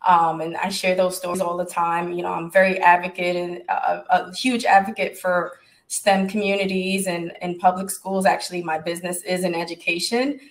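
A woman's voice: lowish voice, flat delivery, rough recording. Earnest woman speaking on a video call. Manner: earnest, measured, advocating. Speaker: tech business owner and panelist. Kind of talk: panel discussion over video call.

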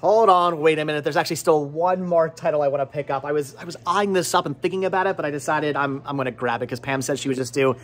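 A man in his thirties hosting a casual, chatty vlog in an excitable way, often repeating his words, recorded on a handheld camera in a shop. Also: on the high side, quick pace, hardly any pauses. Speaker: vlog host. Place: indoor cluttered video and game shop. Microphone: handheld camera at arm's length.

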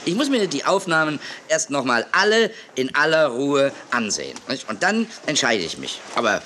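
An actor playing an excitable, brisk TV boss in a children's audio drama, effusive and dismissive, repeating his words. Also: mid-range voice, a little hiss or hum. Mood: soothing, teasing. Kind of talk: 1980s German children's radio play acting.

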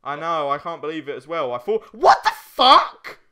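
Bright-voiced young man chatting over a game. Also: a little high, huge pitch swings, normal speed, smooth. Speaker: let's play host. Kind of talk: casual chat while playing a video game.

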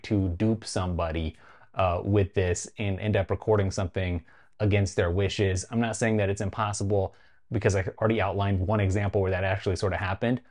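Man in his forties, North American accent, lowish voice, conversational tech host, casual delivery, emphatic key phrases. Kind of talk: explainer vlog to camera, conversational. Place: small home studio room, indoors.

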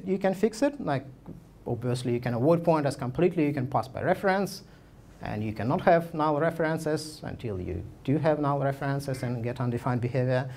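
A man's voice: medium pitch, darkish tone, a little hiss or hum. A man in his thirties giving a conference talk with slides, speaking casually with many fillers and restarts. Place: meetup room, indoors.

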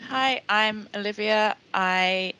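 A woman's voice: mid-range voice, takes it slowly, pushed and bright, a little hiss or hum. A young woman, a trainee technician, speaking in a shy, hesitant way on a video call. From Norfolk, England. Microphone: headset on video call.

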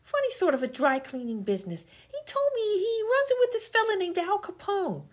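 A woman's voice: very high voice, very animated, brisk. A woman playing a dim, chatty 1920s vaudeville comedienne, slangy and easily indignant, in an old-time radio comedy sketch. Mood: dreamy, chattering. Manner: scatterbrained, slangy, literal-minded.

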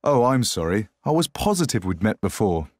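A man's voice: on the low side, big pitch swings, moderate pace, a little mellow, no echo. A man playing a polite, inquisitive man in a scripted textbook dialogue. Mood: apologetic. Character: polite, inquisitive man, apologetic when mistaken.

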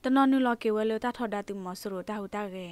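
A woman's voice: medium pitch, rapid-fire, darkish tone, clear, resonant tone. A woman presenting the news, reading from a script as a radio newsreader.

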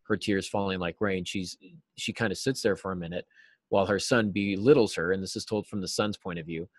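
A man in his forties giving earnest, explanatory answers as an interview guest, stressing key words deliberately. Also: deep voice, fairly even intonation, no echo. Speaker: writing teacher and novelist, interview guest. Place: small cluttered office, video call.

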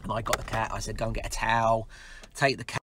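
A man's voice: fairly low pitch, flat delivery, quick pace. A man in his forties with a London accent, talking to camera while driving, upbeat and motivational, rambling with plenty of fillers. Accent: London English. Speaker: business owner vlogger. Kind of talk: casual car vlog monologue. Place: inside a car while driving. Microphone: camera on the dashboard. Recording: car road noise behind.

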